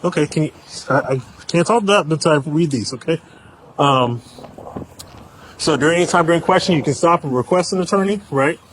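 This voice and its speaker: Male police officer, blunt and informal but firm, rapid delivery, noisy outdoor body-camera sound. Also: a little high. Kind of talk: blunt questioning and procedural talk during an arrest. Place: outdoors in a park. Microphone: body-worn camera. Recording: noisy outdoor bodycam audio.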